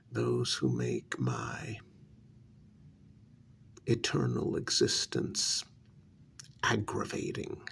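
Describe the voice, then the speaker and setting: Man acting as a haughty vampire lord, heavy vocal fry, very slow and deliberate, half-whispered, condescending, menacingly amused, ASMR role-play. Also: mid-range voice, slight background noise, full character voice. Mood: coldly cruel. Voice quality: gravelly, breathy, often dropping to a whisper. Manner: theatrical, condescending, deliberate, drawn-out delivery. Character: cruel, haughty high-society male vampire, menacingly amused.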